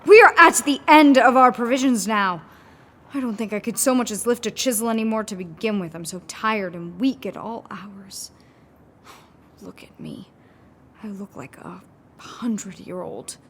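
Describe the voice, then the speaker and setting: A woman's voice: fairly high pitch, normal speed, fairly bright tone, slight background noise, close to an everyday voice. A woman playing an anxious, weary and insistent woman sculptor in a theatrical, pleading radio horror drama. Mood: weary, desperate.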